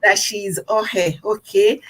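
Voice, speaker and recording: A woman's voice: mid-range voice, fairly even intonation, takes it slowly, a bit bright, poor audio. A young Nigerian woman talking casually about herself as a guest, often repeating phrases for emphasis. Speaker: talk show host appearing as guest. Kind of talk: casual personal talk.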